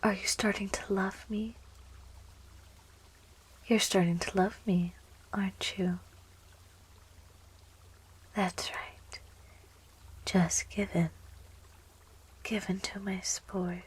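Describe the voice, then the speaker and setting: A woman voicing a young, possessive, lovestruck plant monster girl in an intimate, breathy, slow and coaxing ASMR roleplay, close to the microphone. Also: medium pitch, level tone. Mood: hypnotic, coaxing. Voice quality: breathy, whispery. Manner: slow, coaxing, teasing, giggly, doting.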